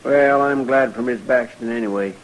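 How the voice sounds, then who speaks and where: An actor playing a folksy, earnest, deferential frontier deputy with a drawling rural American accent in an old-time radio western. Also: male voice, mid-range voice, moderate pace, natural voice. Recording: noisy vintage radio drama recording.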